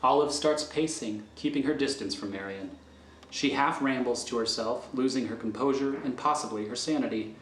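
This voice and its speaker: A man's voice: mid-range voice, normal speed, fairly bright tone, slight background noise. A man reading screenplay stage directions as the narrator of an audio thriller. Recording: roomy echo with music underneath.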